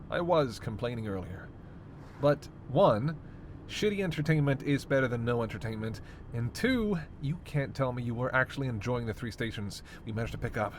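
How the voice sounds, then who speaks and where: A sardonic male vampire, acted by a man for a roleplay audio drama. His mid-range voice teases and needles with theatrical exasperation and casual swearing. Clear vehicle noise runs behind him. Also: mid-range voice, close to an everyday voice. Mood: exasperated, teasing. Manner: sarcastic, needling, theatrically exasperated, foul-mouthed. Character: snarky, teasing immortal male vampire passenger.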